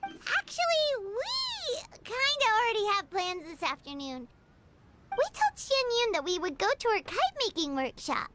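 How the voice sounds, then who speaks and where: A woman voicing a tiny, cheerful, childlike fairy companion with a squeaky, perky delivery in a video game. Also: huge pitch swings, moderate pace, pushed and bright, a little hiss or hum, exaggerated voice. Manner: perky, refers to self in third person. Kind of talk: video game dialogue acting.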